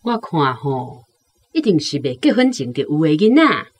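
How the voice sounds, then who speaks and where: An actress with a Taiwanese accent playing a judgmental, gossiping village neighbour in a radio drama, with a husky voice. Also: female voice, low-pitched, very animated, moderate pace, exaggerated voice. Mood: knowing.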